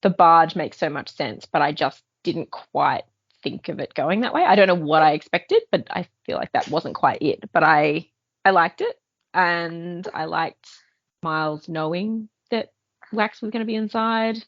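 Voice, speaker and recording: A woman's voice: on the low side, a little slow, no room sound. A woman co-hosting a podcast, chatting casually and enthusiastically about books. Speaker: podcast co-host. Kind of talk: casual book discussion. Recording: dull, band-limited sound, dry.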